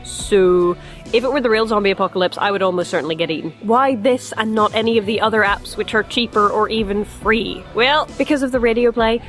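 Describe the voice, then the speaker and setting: Wry woman reviewer with background music. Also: on the high side. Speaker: host of a review show. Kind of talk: scripted video review to camera, casual and chatty.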